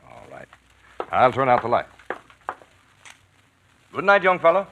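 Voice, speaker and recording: A man playing a brisk, fatherly company doctor who scolds firmly, in a noisy vintage 1940s radio drama. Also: medium pitch, very animated, narrow, tinny audio. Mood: paternal, soothing. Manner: firm, scolding, paternal.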